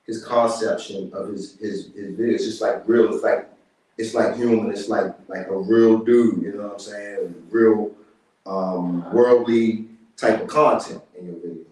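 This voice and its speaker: A man's voice: on the low side, steady tone, a little slow, darkish tone. A man hosting a casual interview show, easygoing and calling people "man". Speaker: show host. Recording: roomy, mic at a distance.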